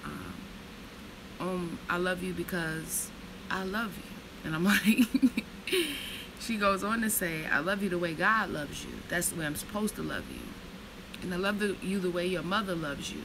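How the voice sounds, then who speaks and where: A woman in her thirties reads slowly and reflectively from a book, then slips into blunt, profane, casual storytelling. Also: fairly low pitch, background noise. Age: 30s. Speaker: vlogger reading from a book.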